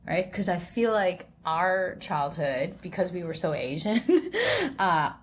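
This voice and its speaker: A woman's voice: on the low side, sing-song, takes it slowly, a little hiss or hum. A young woman, co-hosting a podcast in casual, conversational chat, often checking in with the listener. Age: young adult. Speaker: podcast co-host.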